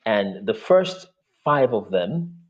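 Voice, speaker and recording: A man's voice: on the low side, sing-song, slow pace, dull audio. A man whose first language is Yoruba, speaking earnestly as an interview guest over a video call, with frequent fillers.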